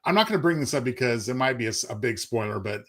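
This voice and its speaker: Wordy male co-host, medium pitch. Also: animated, fast, fairly bright tone. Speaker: radio stream co-host. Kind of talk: casual live show chat.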